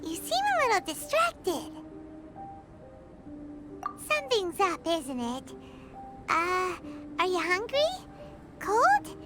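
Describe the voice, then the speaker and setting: A woman's voice: extremely high pitch, very animated, takes it slowly, long pauses, heavily acted. A woman voicing a tiny, chirpy, caring fairy girl in an anime game, talking in a childlike way. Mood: concerned, coaxing. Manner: childlike, refers to self in third person. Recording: loud music under the voice.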